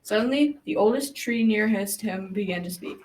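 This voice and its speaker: A woman's voice: medium pitch, normal speed, darkish tone, close to an everyday voice. A young adolescent girl narrating a folktale as a grandfather in a scripted school radio drama. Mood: storytelling. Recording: faint noise, slightly distant microphone.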